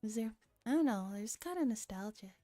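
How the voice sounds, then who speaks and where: A woman streamer with a soft, breathy, often whispering voice, reading a story and chatting casually in a relaxed ASMR style. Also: a little high, brisk, dry recording, soft background music. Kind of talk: ASMR visual novel read-aloud with casual chat.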